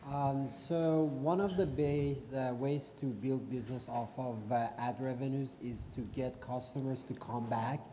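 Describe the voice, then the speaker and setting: Man with a dark voice asking a question in a reverberant room. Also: fairly even intonation, unhurried, few pauses. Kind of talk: panel question. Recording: reverberant room, faint rumble.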